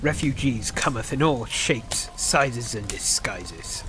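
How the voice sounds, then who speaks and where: A man's voice: mid-range voice, animated, slow, background noise. A man acting a pompous, hostile and suspicious tavern keeper in a radio play, declaiming in mock-archaic speech. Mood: scornful, suspicious.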